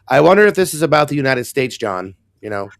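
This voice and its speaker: A man with a hoarse, raspy voice, co-hosting a casual Bible discussion with wry, sarcastic asides. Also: medium pitch, big pitch swings, fast, no room sound. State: hoarse, recovering lost voice. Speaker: co-host.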